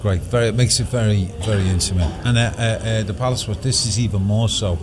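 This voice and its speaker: A man's voice: fairly low pitch, fairly even intonation, fast, crowd noise. An actor, a man, chatting effusively in a casual interview and repeating phrases for emphasis.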